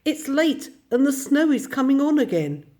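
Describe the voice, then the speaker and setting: Woman doing a brisk, kindly male water rat. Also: fairly low pitch, lively intonation, mellow. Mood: cheerful. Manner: cheerful, brisk, matter-of-fact.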